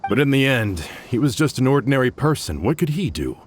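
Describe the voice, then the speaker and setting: A man's voice: medium pitch, sing-song, brisk, faint music behind, close to an everyday voice. A man voicing a bitter, vengeful male gang member in a video game, speaking bluntly.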